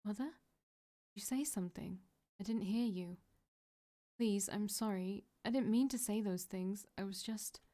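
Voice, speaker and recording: A woman's voice: mid-range voice, unhurried, long pauses, mellow, natural voice. A woman voicing a desperate, broken young woman who pleads through tears. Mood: tearful pleading. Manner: pleading, tearful.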